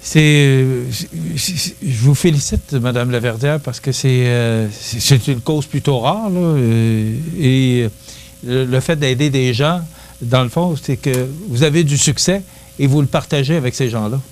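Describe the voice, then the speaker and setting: A man hosts a local TV interview in an ordinary mid-pitched voice. He gropes for words, repeats himself and fills the gaps with hesitations. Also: darkish tone. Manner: halting, many restarts and fillers. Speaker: TV host.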